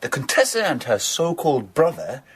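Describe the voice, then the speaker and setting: An actor playing a forceful, protective, suspicious gentleman in a radio drama, urgent and commanding. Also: on the high side, wildly swooping pitch, normal speed, breathy edge, full character voice. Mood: grim suspicion.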